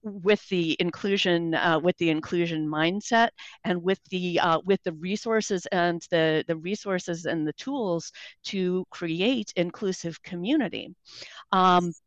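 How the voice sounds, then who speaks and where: A radio guest with a smooth, resonant voice who speaks haltingly and restarts often. The recording is dry and slightly muffled. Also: medium pitch, no echo. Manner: halting, many restarts and fillers. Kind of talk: radio interview.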